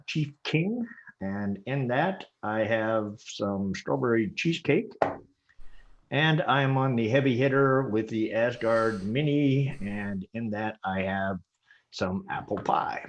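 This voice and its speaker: A man's voice: fairly low pitch, lo-fi sound. A man with an American accent, a guest on a casual livestream, laid-back and playful with some mild swearing.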